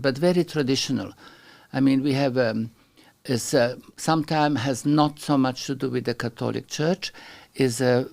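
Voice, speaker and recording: Gravelly Slovenian-accented man, halting English. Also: medium pitch, darkish tone. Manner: halting, searches for English words. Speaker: guest artist. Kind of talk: casual interview chat.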